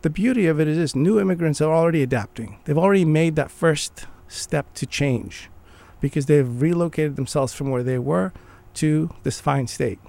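Gravelly man in earnest conversation. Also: a little high, moderate pace, warm tone. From Morocco. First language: Arabic. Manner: earnest, restarts phrases while thinking. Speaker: guest. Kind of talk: conversational interview.

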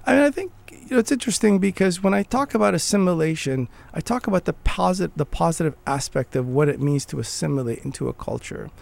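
Gravelly man in earnest conversation. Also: fairly high pitch, lively intonation, fast, hardly any pauses, a little mellow. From Morocco. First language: Arabic. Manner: earnest, restarts phrases while thinking. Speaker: guest. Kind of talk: conversational interview.

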